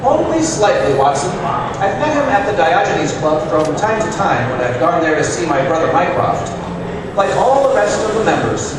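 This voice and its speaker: A man performs a composed, dryly witty detective from an old radio play. The voice sits high and speaks with formal precision. It comes through a very echoey hall with loud noise. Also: big pitch swings, moderate pace, distant mic. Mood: dry amusement. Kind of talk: old-time radio drama acting. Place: live stage performance in a hall.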